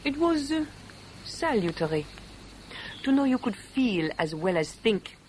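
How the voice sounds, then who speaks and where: A blunt, caring wife in a vintage radio play. She is forthright and emphatic, her pitch rising and falling widely, with faint hiss on the recording. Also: big pitch swings, a little mellow, poor audio. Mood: candid. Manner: forthright, emphatic, measured phrasing. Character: blunt, affectionate, scolding wife and old friend. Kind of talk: 1970s British radio drama acting.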